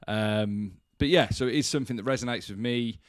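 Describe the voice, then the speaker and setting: A man's voice: mid-range voice, a little fast, no room sound, studio quality. A young man hosting a podcast interview, asking loose questions full of fillers and repeated words. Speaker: podcast host. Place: small studio room with curtains. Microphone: desk mic on boom arm, headphones.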